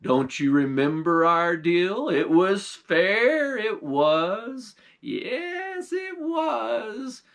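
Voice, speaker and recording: A male storyteller voicing a sly, whiny old witch woman who wails in a high, drawn-out voice. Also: animated, a bit bright, exaggerated voice. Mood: defensive.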